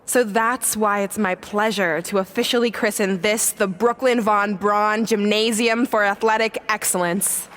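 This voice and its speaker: A woman's voice: mid-range voice, steady tone, unhurried, hardly any pauses, fairly bright tone, a little hiss or hum, close to an everyday voice. Smug, boastful mean-girl wrestler voiced by an actress. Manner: boastful, condescending, mocking. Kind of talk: video game cutscene acting.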